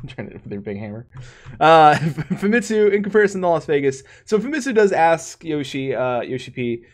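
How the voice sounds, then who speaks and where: A man's voice: fairly high pitch, very animated, moderate pace. A man co-hosting a casual gaming podcast, who talks in stop-start bursts, restarts his sentences and laughs often. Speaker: podcast co-host.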